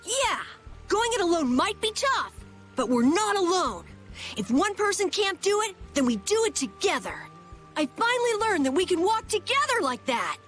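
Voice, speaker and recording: An actress playing a plucky, heartfelt young kid adventurer in a fantasy video game. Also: female voice, extremely high pitch, sing-song, moderate pace, a bit bright, soft background music, exaggerated voice. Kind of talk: dramatic video game voice acting.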